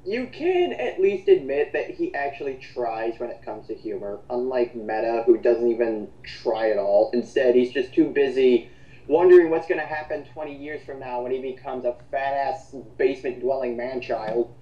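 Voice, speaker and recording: A man's voice: medium pitch, normal speed. A man delivers casual, mocking commentary. He is blunt and sarcastic and swears freely. The sound is lo-fi and dull, with plenty of room echo around his voice. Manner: blunt, sarcastic, foul-mouthed.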